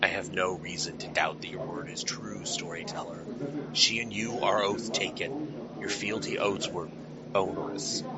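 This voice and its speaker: A man playing a weary, haunted fae lord in a fantasy audio drama, speaking formally and mournfully with halting, measured phrasing. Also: sits low, fairly even intonation, dull audio, a loud crowd, close to an everyday voice. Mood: solemn regret.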